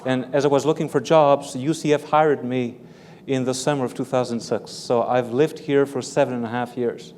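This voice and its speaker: Measured male author from Belgium in a hall. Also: medium pitch, steady tone, a little mellow. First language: French. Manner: careful, measured, repeats words as he explains. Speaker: author and professor. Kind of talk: guest interview answers. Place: large hall with live audience. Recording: long hall reverb with faint room noise.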